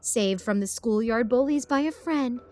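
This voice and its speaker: A woman voicing a cruel demon in a woman's body, gloating and taunting with mocking, drawn-out phrasing, in an audio story. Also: fairly high pitch, a little mellow, faint music behind, natural voice.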